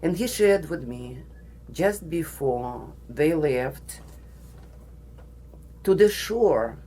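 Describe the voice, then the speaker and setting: A woman's voice: low-pitched, expressive, dark tone, breathy edge. A woman from the former Soviet Union with a Russian accent, giving a personal story speech in a studio. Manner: slow, deliberate, with pauses. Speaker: guest speaker.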